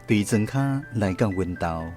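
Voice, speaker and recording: A woman's voice: extremely low pitch, expressive, unhurried, a little mellow, soft background music. A woman with a Taiwanese accent narrating a radio drama as a grown daughter recounting her family's past. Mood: storytelling.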